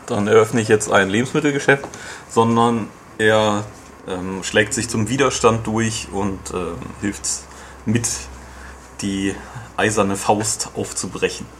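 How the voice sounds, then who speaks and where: A man reviewing a video game in casual podcast chat, explaining at length with many fillers and pauses. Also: lowish voice, steady tone, fairly bright tone, slight background noise.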